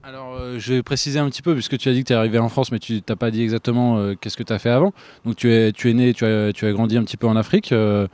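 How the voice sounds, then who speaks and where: A man's voice: mid-range voice, level tone, racing, darkish tone, dry recording. A man interviewing a musician, asking long, hesitant questions full of fillers.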